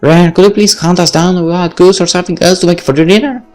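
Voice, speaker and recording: A man's voice: high voice, steady tone, fast, a little mellow, faint music behind, pitched above the performer's own voice, natural voice. A man voicing a self-important, bossy, geeky young heroine who talks pompously in a story.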